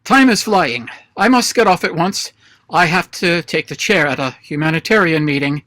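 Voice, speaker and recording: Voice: mid-range voice, expressive, normal speed, sharp tone, dry recording. An actor in a radio play reading, voicing an imperious, scornful president of an anarchist council in a pompous, drily mocking way. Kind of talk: radio play read aloud. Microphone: video call.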